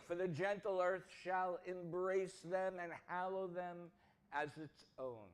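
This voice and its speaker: A man speaking as a minister to his congregation, reading and preaching with measured, deliberate phrasing. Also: high voice. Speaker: minister leading a church service. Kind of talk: sermon, reading aloud to a congregation.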